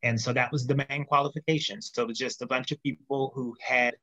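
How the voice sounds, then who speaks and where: A man speaking as a podcast guest in a casual interview, telling animated stories. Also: mid-range voice, fairly quick. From United States. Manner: animated storyteller, acts out quotes.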